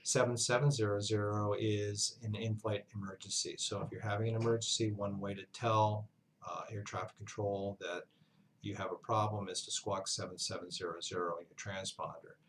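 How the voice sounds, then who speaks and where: A man giving an instructional lesson as a flight instructor, speaking in a measured, halting way with pauses mid-sentence. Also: on the low side, little pitch movement, a bit bright, a little hiss or hum. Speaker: flight instructor, university lecturer. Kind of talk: instructional narration, explanatory lesson.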